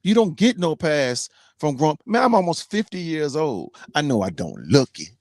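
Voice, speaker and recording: A man's voice: on the high side, big pitch swings, normal speed, a little mellow, no room sound, studio quality. A man in his forties, a team trucker and co-host, talking bluntly and preachily in casual slang. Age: 40s. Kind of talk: casual podcast chat.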